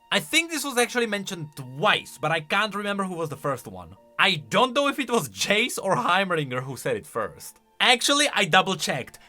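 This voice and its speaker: Animated, bright-voiced man commenting. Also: fairly high pitch. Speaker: video host, game lore commentator.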